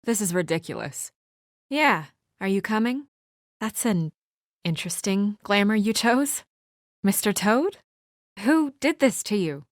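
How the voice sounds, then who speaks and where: A woman's voice: medium pitch, no room sound, clean studio sound, natural voice. A woman playing an earnest, businesslike young woman with office authority and a dryly exasperated edge, in naturalistic video game acting.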